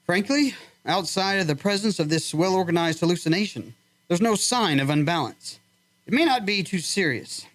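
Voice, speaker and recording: An actor in a radio drama playing a clinical doctor who speaks precisely and coaxingly to a troubled patient. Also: male voice, sits high, animated, moderate pace, fairly bright tone.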